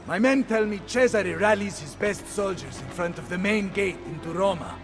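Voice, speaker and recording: An actor playing a gruff military commander in a video game cutscene, recorded with hall echo. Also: male voice, sits high, normal speed. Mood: urgent, businesslike. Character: gruff military commander reporting on his troops. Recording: echoey hall, long reverb, faint music.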